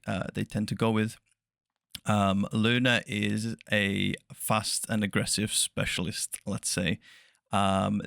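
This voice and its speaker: A man hosting a soft-spoken interview podcast, chatty and laughing often, correcting himself as he goes. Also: on the low side, vocal fry, no echo, studio quality. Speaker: podcast host.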